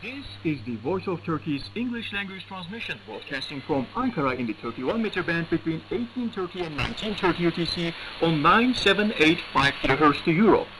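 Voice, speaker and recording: A male radio announcer with a slightly high-pitched voice reads a scripted station announcement. The sound is a shortwave broadcast with clear noise and static around the voice. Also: big pitch swings, fairly quick, hardly any pauses. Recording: noisy shortwave radio reception.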